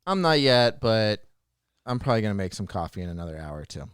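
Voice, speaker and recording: A man's voice: lowish voice, sing-song, quick pace, clean tone, no room sound, studio quality. A man hosting a podcast, bantering casually in a playful, teasing way. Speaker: podcast host.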